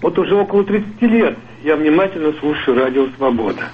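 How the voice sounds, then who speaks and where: A man's voice: a little high, animated, quick pace. A male caller on a radio show, earnest and indignant, declaiming his views. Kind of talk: phone-in comment. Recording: tinny phone line with noise.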